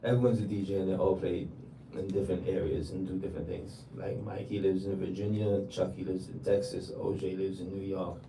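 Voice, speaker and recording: A very deep-voiced older man from Jamaica answers interview questions about his DJ work in a relaxed, repetitive way. He sits back from the mic in an echoey room. Steady background noise runs under his voice. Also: brisk, few pauses. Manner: relaxed, repeats words for emphasis. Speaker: DJ guest. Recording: roomy, distant, noisy livestream audio.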